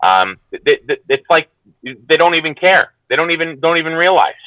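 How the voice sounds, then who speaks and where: A man giving casual, unscripted commentary as a guest, halting and hesitant, often qualifying what he says. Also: a little high, expressive, pushed and bright, no room sound, poor audio.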